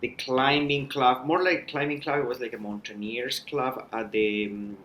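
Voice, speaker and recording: A man, a mountain guide talking as a podcast guest in a measured, thoughtful way with frequent restarts. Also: medium pitch, steady tone, fairly bright tone, a little hiss or hum. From Ecuador. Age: 30s. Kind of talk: thoughtful conversational storytelling.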